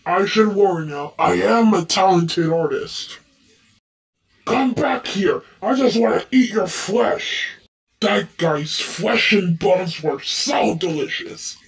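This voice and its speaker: A performer voicing a sinister, hungry cartoon alligator artist with a gravelly, mock-friendly delivery. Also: mid-range voice, lively intonation, slow, far from the mic. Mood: menacing friendliness. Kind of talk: villainous cartoon voice lines.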